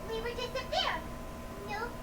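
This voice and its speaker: A woman voicing a tiny, excitable, blunt childlike fairy companion in an anime video game. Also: measured pace, reverberant, recorded from a distance, heavy background noise. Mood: flustered. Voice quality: squeaky, childlike.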